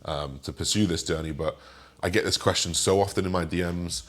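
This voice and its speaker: A man in his twenties with a slightly raspy voice, chatting casually as he answers viewer questions and rambles with fillers. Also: low voice, fairly even intonation, a little fast, a bit bright. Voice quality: raspy today. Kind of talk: casual Q and A monologue.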